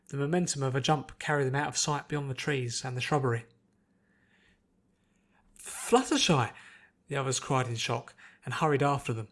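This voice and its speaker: A man reading a scripted adventure story aloud as its narrator. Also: mid-range voice. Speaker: narrator, podfic reader.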